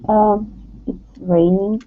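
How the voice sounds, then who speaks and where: A woman's voice: medium pitch, steady tone, slow, slight background noise. A woman, a student making brief, simple replies on a narrowband online call. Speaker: student in online English class. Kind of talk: casual chat.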